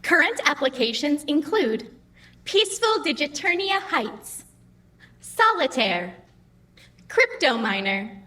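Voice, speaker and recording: A woman's voice: high-pitched, big pitch swings, takes it slowly, pauses often, bright, forceful tone, exaggerated voice. A woman playing a perky, literal-minded, slightly testy computer assistant in an audio drama, chirpy, officious and pedantically precise. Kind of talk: scripted audio comedy-drama acting.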